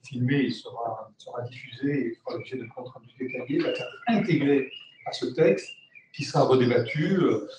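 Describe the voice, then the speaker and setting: A man, the workshop's organiser, opens the session in a halting way, restarting his sentences often. He sounds far from the mic in an echoey room with steady noise. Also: mid-range voice. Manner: halting, many restarts and "euh". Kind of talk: opening remarks at a workshop. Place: meeting room with tables in a U shape. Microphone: far room camera.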